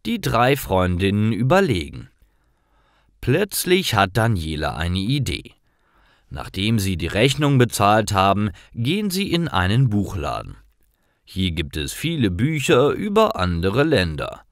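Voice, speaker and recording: A man narrating scripted stories for language learners in simple, clear sentences. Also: on the low side, wildly swooping pitch, unhurried, dry recording, clean studio sound.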